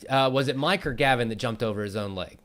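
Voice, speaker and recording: A man's voice: medium pitch, quick pace, a bit bright. A man hosting a casual conversational interview, giving encouraging advice in an informal way. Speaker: talk show host.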